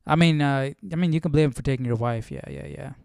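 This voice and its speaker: A man's voice: medium pitch, quick pace, gravelly, darkish tone, clean tone, dry recording, studio quality. A man hosting and reacting casually to a reading, with exclamatory, conversational asides. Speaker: host.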